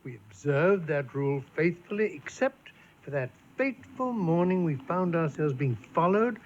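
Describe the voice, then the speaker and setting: An actor playing a fussy, genteel husband spinning an adventure yarn in a proper, theatrical radio drama style, with a husky voice. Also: male voice, on the high side, very animated, normal speed, a little hiss or hum. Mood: earnest, dramatic. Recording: dull lo-fi radio broadcast.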